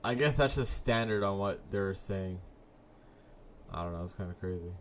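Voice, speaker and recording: A man in his early twenties hosting a live trading stream, chatting casually with viewers in a laid-back, slangy way and letting his sentences trail off quietly. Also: deep voice, moderate pace, slight background noise. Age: 20s. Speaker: livestream trading host. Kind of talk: casual live-stream chat reading comments.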